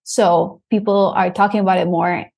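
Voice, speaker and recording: A woman's voice: medium pitch, steady tone, moderate pace, gravelly, darkish tone. A woman from Costa Rica, a sexologist, explaining her work casually and upbeat in an interview. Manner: upbeat, laughs easily, explains plainly. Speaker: sexologist guest.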